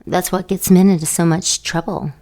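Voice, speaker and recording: A woman's voice: fairly low pitch, level tone, moderate pace, mellow, lightly acted. A woman acting a seductive, teasing supernatural lover in a radio drama, sultry and playful.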